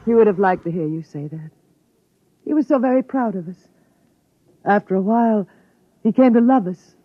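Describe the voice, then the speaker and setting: A woman acting in a 1950s radio drama as a serene, wistful artificial wife, measured and breathy, on a thin old recording. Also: medium pitch, lively intonation, dry recording, highs cut off, natural voice. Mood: wistful, composed.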